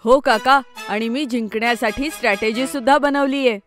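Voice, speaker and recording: An eager young cartoon boy, acted out by a woman, with a fairly high voice. He sounds clever and playful as he earnestly explains himself, with music playing behind. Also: normal speed, fairly bright tone, close to an everyday voice. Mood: eager, confident. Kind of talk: children's cartoon story dub.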